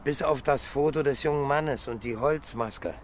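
An actor playing a precise, fact-loving police detective in a radio crime drama, with a matter-of-fact, pedantic manner. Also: male voice, medium pitch, normal speed, a little hiss or hum, lightly acted. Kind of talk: naturalistic German radio crime drama acting.

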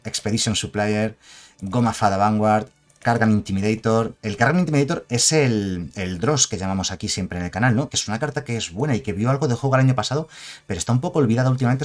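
A man in his thirties with a fairly low voice, talking casually to his viewers about game news from a small room at home. He speaks into a headset, drawls through lists of card names and keeps asking his audience if they follow. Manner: chatty, reads card names slowly, asks for agreement with ¿vale?. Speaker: gaming channel host. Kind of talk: casual news commentary to camera.

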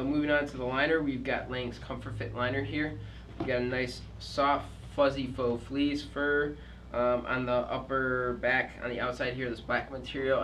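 A man's voice: mid-range voice, slight background noise. A middle-aged man reviewing ski boots at a shop counter, explaining features steadily with frequent ums and uhs. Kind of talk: unscripted product demonstration. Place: ski shop with boots and skis on the walls, indoors. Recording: distant mic with steady shop ambience.

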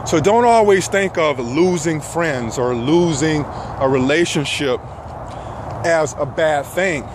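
A middle-aged man giving an earnest motivational talk in measured phrases with frequent pauses, walking outdoors with a phone at arm's length. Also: on the high side, animated. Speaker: self-help vlogger. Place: outdoors on a path among trees. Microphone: phone held at arm's length. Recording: noisy outdoor phone recording, traffic ambience.

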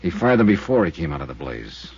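Dry hard-boiled insurance eye, very low voice, muffled old radio with music. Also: male voice, sing-song, quick pace, darkish tone, husky, dry recording, heavily acted. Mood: weary. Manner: terse, dry, world-weary. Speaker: insurance investigator and narrator. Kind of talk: 1950s radio drama narration and dialogue.

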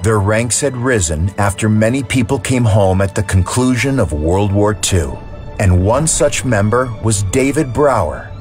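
A man's voice: fairly low pitch, animated, unhurried, darkish tone, soft background music. A man narrating a scripted history documentary.

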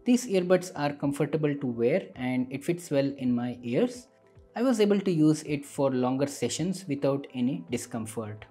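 A man narrates a tech product review in a mellow, slightly rough voice. His delivery is that of a scripted explainer. Faint music plays behind him. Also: mid-range voice, expressive, moderate pace.

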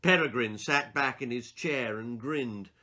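A man in his sixties reading a children's story aloud in an expressive, theatrical and emphatic way. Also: medium pitch, a little slow. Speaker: author reading his own children's stories. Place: small book-lined room indoors.

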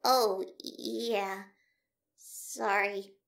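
A woman's voice: mid-range voice, fairly even intonation, extremely slow, creaky, fairly bright tone, exaggerated voice. A woman voicing a nervous, apologetic lizard scientist in a fan audio drama, flustered and stuttering heavily. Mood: apologetic, worried.

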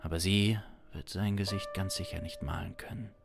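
A deep-voiced man in his thirties tells a grim crime tale. His delivery is dramatic and intense, with instrumental music playing behind him. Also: steady tone, normal speed, creaky. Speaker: improvising audio drama performer. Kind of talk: improvised dark crime narration. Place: small home studio, indoors. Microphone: close studio condenser mic.

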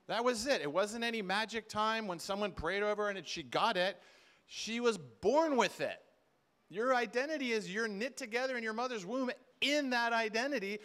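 A man's voice: high-pitched, fairly bright tone. A middle-aged man speaking to a conference audience in an informal sermon style, talking conversationally, repeating words and acting out bits of dialogue. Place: large indoor hall with seated audience. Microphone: far camera at back of room.

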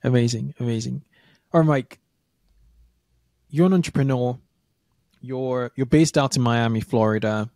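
Man, podcast host, middle pitch, dry close mic, chatty, stumbling repeats. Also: moderate pace, long pauses, dark tone, no room sound. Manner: chatty, repeats words while thinking. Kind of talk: interview podcast hosting. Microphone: studio mic with headphones.

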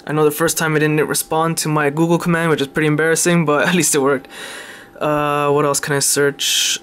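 Male tech presenter, slightly higher pitch, informal and halting unscripted demo. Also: little pitch movement, fairly bright tone, slight background noise. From Canada. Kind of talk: casual unscripted phone demonstration.

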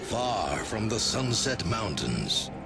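A man playing a grave storyteller, narrating a dark fantasy video game in an ominous, portentous, gravelly voice. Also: lowish voice, big pitch swings, takes it slowly, loud music behind the voice, heavily acted. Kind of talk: dramatic scripted game narration.

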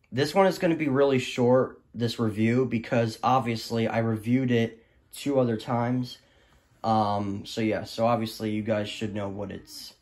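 A young male student giving a casual, rambling hobbyist review that often trails off, recorded indoors on a handheld phone. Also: mid-range voice. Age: teenager or young adult student. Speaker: weather radio hobbyist reviewer. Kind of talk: casual off-the-cuff product review. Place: small room indoors. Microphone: handheld phone camera.

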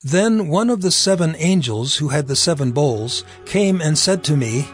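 Solemn male narrator, slightly high voice. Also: expressive, normal speed, faint music behind. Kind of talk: dramatized scripture narration.

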